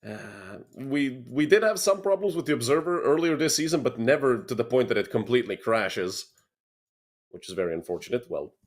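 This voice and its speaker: A man's voice: medium pitch, lively intonation, normal speed. A young man from Serbia casting an esports match alone, chatting with viewers between plays. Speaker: esports caster. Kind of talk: solo play-by-play commentary.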